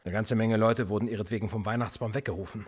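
An actor playing a cold, sardonic police interrogator in a radio play, calm and mocking with a menacing edge and a husky voice. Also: male voice, lowish voice, quick pace. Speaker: police officer.

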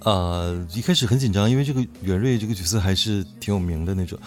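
Male guest, quick pace, lowish pitch, hesitant, repetitive agreements, casual chat, music underneath. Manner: hesitant, repeats short agreements. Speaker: voice actor guest. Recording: music behind the voice.

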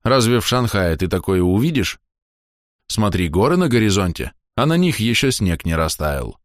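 A bossy, jocular fellow traveller , put on by a male audiobook reader. He teases and instructs briskly in a low male voice, recorded dry. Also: big pitch swings, no room sound. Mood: instructive, jocular.

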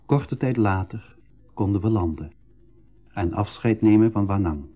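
An actor playing a young traveller who tells his own adventure in measured radio drama narration. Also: fairly low pitch, narrow, tinny audio, faint music behind. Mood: admiring narration. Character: young male traveller recounting his adventure, admiring, timid. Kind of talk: scripted first-person radio drama narration.

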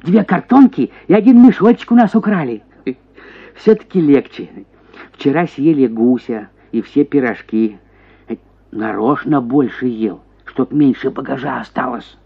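An actor voicing a henpecked prosecutor, a self-pitying husband who grumbles and exclaims theatrically in a radio story. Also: male voice, medium pitch, a little slow, creaky, husky, phone-quality sound, heavily acted. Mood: sly, bitter. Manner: plaintive grumbling that bursts into excited exclamations.

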